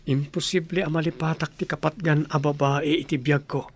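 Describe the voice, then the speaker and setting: Actor as young Ilocano village man, slightly high voice, earnest, devoted, radio drama. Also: little pitch movement, normal speed, husky. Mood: affectionate. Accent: Filipino, Ilocano. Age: young adult.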